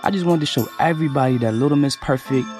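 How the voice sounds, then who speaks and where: An actor playing a cocky, spiteful young lover with a bruised ego in an audio drama, slangy and taunting. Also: male voice, medium pitch, fast talker, lightly acted. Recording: music bed behind the voice.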